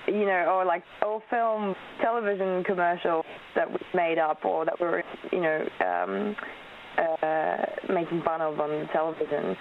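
A woman's voice: on the low side, fairly even intonation, normal speed, gravelly. Chatty Australian woman on a phone line. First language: Polish. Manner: chatty, laughing often, many ums. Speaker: actress, interview guest. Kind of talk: casual interview chat. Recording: tinny phone-like radio line with faint hiss.

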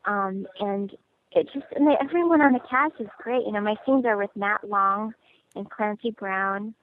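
An actress being interviewed over the phone, chatty and bubbly, laughing often. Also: female voice, mid-range voice, moderate pace. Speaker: actress guest. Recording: tinny phone line.

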